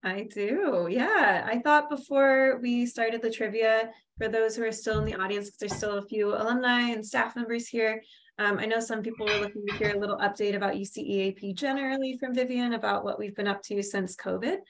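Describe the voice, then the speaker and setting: A woman's voice: on the high side, steady tone, brisk. Young woman moderating an online event, upbeat and organized, faint noise behind. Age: 20s. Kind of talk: event hosting.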